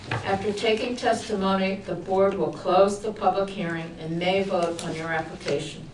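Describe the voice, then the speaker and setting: A woman chairs a town board meeting, reading out rules and calling votes in a formal, measured way. She sounds picked up from well away from the microphone. The room is echoey and a clear hiss sits under her voice. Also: mid-range voice. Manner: formal, measured, with light asides. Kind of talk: reading meeting procedure aloud. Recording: echoey, distant, noisy public-access broadcast.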